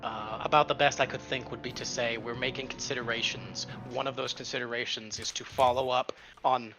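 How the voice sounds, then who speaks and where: A man playing a tabletop game, chatting casually and reasoning aloud, on a slightly dull microphone. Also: medium pitch. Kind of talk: casual chat while gaming.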